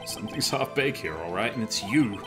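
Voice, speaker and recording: A man playing an earnest, excitable defense attorney, arguing emphatically in a fan dub of a courtroom game. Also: fairly low pitch, a little fast, music in the background, natural voice. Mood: protesting. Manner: emphatic, argumentative.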